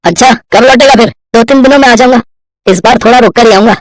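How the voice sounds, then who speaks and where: A man playing a kind, earnest young poor villager in an animated moral story, eager in manner. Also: very high-pitched, quick pace, dry recording. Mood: cheerful.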